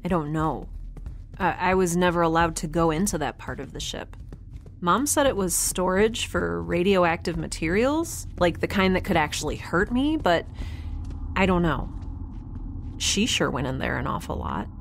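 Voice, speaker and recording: A woman's voice: lowish voice, expressive, moderate pace, vocal fry, slight background noise. A woman playing a wry, curious, stubborn young spaceship dweller in a naturalistic sci-fi audio drama, rambling and hesitant with sarcastic asides. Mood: rambling, thoughtful.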